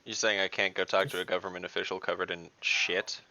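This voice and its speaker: A man's voice: low voice, little pitch movement, fast, sharp tone, highs cut off. A man playing an online tabletop game, casually and analytically talking through the options. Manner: analytical, weighs options at length. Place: online voice call.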